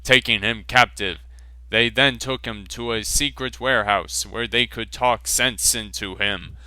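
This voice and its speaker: Male narrator for a fanfic reading, slow, clear and dry-sounding, holding back laughs. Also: fairly low pitch, sharp tone, dry recording. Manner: slow mock-serious reading, breaking into laughs. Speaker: reader.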